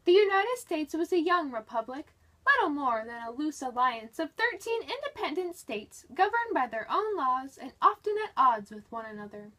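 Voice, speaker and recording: A woman's voice: high-pitched, very animated, normal speed, fairly bright tone. A woman reading a history book aloud in a dramatic, scripted narration style.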